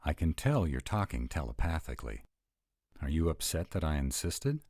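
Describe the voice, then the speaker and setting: A man's voice: very deep voice, very animated, normal speed, a little mellow, no echo, studio quality, heavily acted. A man playing an earnest, awkward young vampire in a roleplay audio drama.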